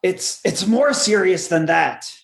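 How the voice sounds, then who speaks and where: A man as an anxious, high-voiced lieutenant. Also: animated, slow pace, creaky, reverberant, full character voice. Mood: worried. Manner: hesitant, stammering, deferential. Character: earnest, anxious, loyal young male lieutenant. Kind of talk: sci-fi radio drama dialogue.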